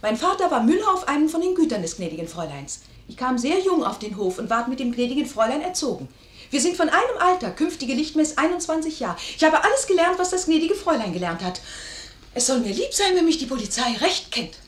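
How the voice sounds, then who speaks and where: Cheeky young maid, high bouncy voice, old 50s radio play, echoey room. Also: female voice, big pitch swings, fast talker. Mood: pert. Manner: pert, mock-earnest, teasing. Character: saucy, quick-witted young lady's maid, twenty. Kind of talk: 1950s German radio play acting. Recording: roomy 1950s radio studio recording.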